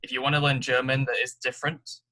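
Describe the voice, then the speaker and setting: Very bright-voiced young man. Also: mid-range voice, level tone, fast, rough recording. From Canada. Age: young adult. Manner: talkative, explains at length with restarts. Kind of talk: casual group chat.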